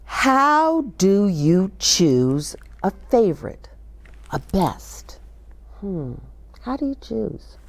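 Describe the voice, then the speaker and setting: Female children's read-aloud host, older, slow pace with lively swings in pitch, speaking straight to kids. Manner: expressive, talks directly to young listeners, asks questions. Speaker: host of a children's story time. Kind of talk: read-aloud and chatty book talk for children.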